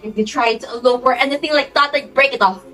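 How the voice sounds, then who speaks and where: A woman's voice: high-pitched, fast, pushed and bright, soft background music. A teenage girl playing an imperious, easily exasperated society hostess in an amateur play, with a haughty, melodramatic delivery. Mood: indignant, dramatic. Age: teens. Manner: melodramatic, haughty, repetitive exclamations. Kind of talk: amateur stage-play acting.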